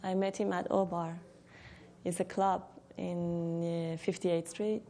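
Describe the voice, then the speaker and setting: A woman from Iran speaks, her voice gravelly with fry and mellow in tone. She reflects openly, restarting sentences as she recalls things. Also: lowish voice, flat delivery, slow. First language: Persian. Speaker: interviewee. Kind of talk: personal interview.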